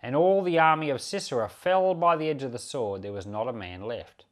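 A man's voice: mid-range voice, expressive, a little fast. A man with a mid-range voice speaks as a pastor presenting a Bible podcast. His intonation moves widely and expressively as he explains and reads scripture. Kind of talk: Bible teaching and scripture reading.

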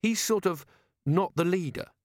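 A man's voice: high-pitched, unhurried, no room sound, studio quality, voice pushed up. A man voicing an earnest young soldier who explains things in an eager, deferential, polite way. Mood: awkward.

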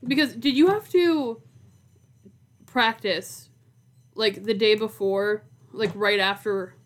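A woman's voice: mid-range voice, slow pace. A woman in her twenties co-hosting a podcast in a casual chat, talking informally with lots of "like". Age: 20s. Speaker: podcast co-host.

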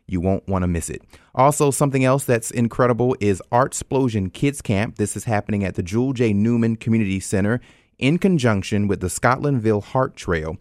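A man's voice: on the low side, fairly quick, darkish tone, dry recording, clean studio sound. An American man hosting a radio show, presenting in a conversational way and speaking directly to listeners. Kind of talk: scripted announcements and interview hosting.

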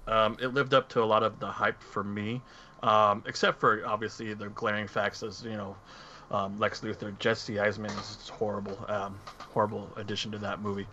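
A man's voice: lowish voice, little pitch movement, normal speed, pushed and bright. A man in a casual movie discussion as a podcast panelist, speaking in hesitant, meandering phrases. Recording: dull lo-fi mic, faint noise.

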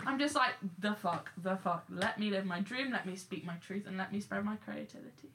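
A young woman chatting casually with friends, animated, dramatic and free with swearing. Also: mid-range voice, fairly quick, a little hiss or hum. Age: young adult. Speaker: podcast host. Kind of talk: casual group chat.

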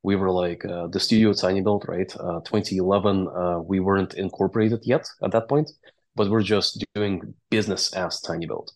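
Low-voiced man. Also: fairly even intonation, normal speed. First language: Russian or Latvian. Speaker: interview guest, game company founder and CEO. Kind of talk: conversational interview storytelling.

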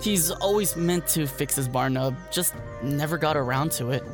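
A man's voice: a little high, moderate pace, music in the background, natural voice. A man playing a naive, nervous and eager youngest farm brother in an audio drama.

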